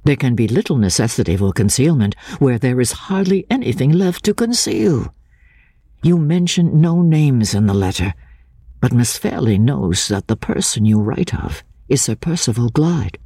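A woman voicing an earnest, gentle gentleman in a dramatic audiobook, measured, coaxing and reassuring. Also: very deep voice, very animated, breathy edge, no echo, full character voice. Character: earnest, gentle, soothing gentleman narrator-hero. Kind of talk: dramatic audiobook character reading.

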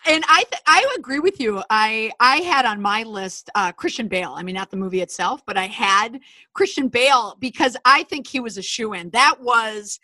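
Lively gal talking it over, bright tense voice, laughs a lot, dry mic. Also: female voice, fairly high pitch, moderate pace, dry recording. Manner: opinionated, animated, laughs often. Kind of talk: casual commentary chat.

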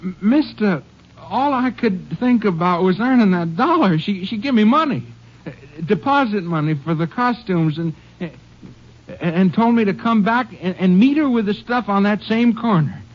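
Desperate stammering poor father, higher voice. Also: animated. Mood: anxious, halting. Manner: stammering, halting, pleading. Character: desperate, impoverished father protesting his innocence, meek but bitter. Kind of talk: old-time radio drama acting. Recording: muffled vintage radio recording.

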